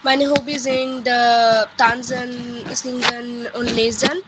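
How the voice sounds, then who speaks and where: Female student, 19, Indian accent, native Hindi; highish, even pitch, hesitant delivery, noisy call. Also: slow. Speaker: language student. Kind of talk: halting self-introduction in class. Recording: noisy online call with background ambience.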